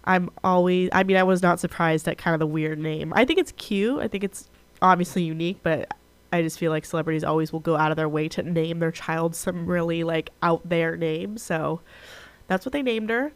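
A young woman hosting a college radio show alone, chatting casually; she sounds congested, nasal and a little raspy from being sick. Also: lowish voice, normal speed, dry recording. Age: young adult. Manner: chatty, frequent "like" and "um", restarts. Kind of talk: casual solo radio chat.

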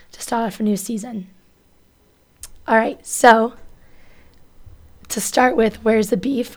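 A woman's voice: medium pitch, darkish tone. A young woman in college hosting a casual radio talk show, chatty and full of "um" and "like" as she talks. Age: young adult. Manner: chatty, lots of "um" and "like".

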